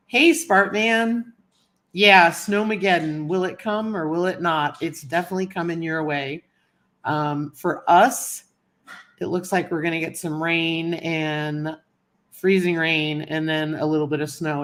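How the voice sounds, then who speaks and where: A woman's voice: low voice, a bit bright. A woman hosting a casual livestream, chatting with viewers by name and a little sniffly from a cold. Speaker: livestream host. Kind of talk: casual live chat greeting viewers.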